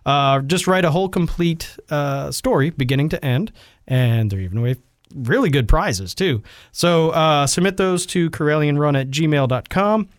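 A man's voice: fairly high pitch, expressive, moderate pace, dry recording, studio quality. A man co-hosting a gaming podcast, chatting casually and laughing often. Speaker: podcast co-host. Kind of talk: casual gaming chat and announcements.

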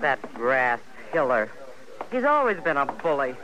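A woman's voice: extremely low pitch, big pitch swings, a little slow, muffled recording, background noise, heavily acted. An actress playing an outspoken, caring frontier woman in a 1950s radio western drama. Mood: concerned, sad.

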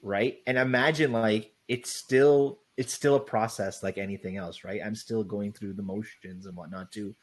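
A young man guest in a casual interview, talking loosely with lots of "like" and "right?", with a loud voice. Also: fairly low pitch, steady tone, normal speed, hardly any pauses. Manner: loose, rambling, heavy on "like" and "right?".

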